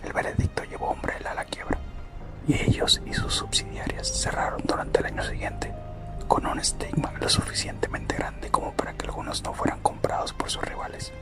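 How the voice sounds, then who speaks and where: Whispered Spanish-language ASMR narrator over music. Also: a little fast. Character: corporate recruiter recounting company history. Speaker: ASMR content creator. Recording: close whisper over loud background music.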